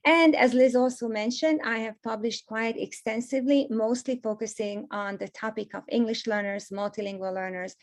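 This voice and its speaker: A woman's voice: on the high side, fairly even intonation, normal speed, few pauses. A woman in her fifties from Hungary, with a Hungarian accent, giving a gracious, emphatic webinar presentation over a video call.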